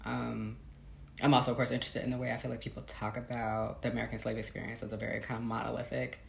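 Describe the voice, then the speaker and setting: A woman's voice: rumbling low, flat delivery, fast, gravelly, slight background noise. A woman giving a reflective, conversational interview as a novelist, thoughtful and hesitant, circling back as she builds her ideas. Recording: roomy, echoey sound.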